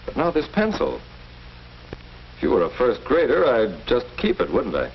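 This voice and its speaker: An actor playing a calm, firm middle-aged school principal in a 1940s educational film, speaking formally and in an avuncular, measured way. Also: male voice, mid-range voice. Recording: muffled, noisy old 1940s film soundtrack.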